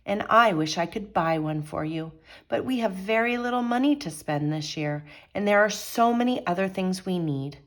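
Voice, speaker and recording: A reassuring mother from a Christmas storybook, played by a woman reading aloud. She speaks gently, in a tender and motherly way. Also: medium pitch, animated, moderate pace, close to an everyday voice. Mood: regretful, tender.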